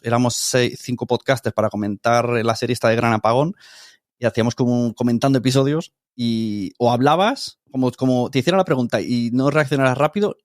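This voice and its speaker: Male podcast guest, Spain Spanish accent, mid-pitched, casual talk, very dry sound. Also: no echo. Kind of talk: casual conversational interview.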